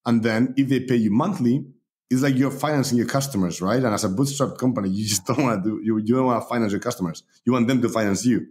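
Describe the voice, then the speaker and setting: A man from Spain, a company founder, talking as a guest in a relaxed way with many fillers. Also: mid-range voice, fast. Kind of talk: casual interview talk.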